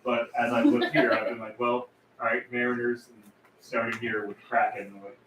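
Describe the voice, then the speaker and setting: A man's voice: mid-range voice, level tone, normal speed, a bit bright. A man chatting casually in a group, joking with dry, self-deprecating humour. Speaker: participant in a table read. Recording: roomy, distant, faint noise.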